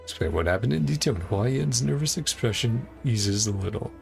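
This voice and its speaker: A man's voice: mid-range voice, animated, fairly quick, creaky, darkish tone, faint music behind. A man giving casual gameplay commentary, musing aloud and paraphrasing story dialogue in short clipped phrases. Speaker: game streamer.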